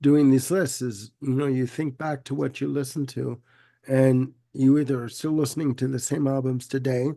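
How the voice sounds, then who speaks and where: A man's voice: mid-range voice, steady tone, normal speed, creaky, dark tone. A man with a head cold hosting a casual music chat, sounding congested, laid-back and given to repeating himself. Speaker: podcast host.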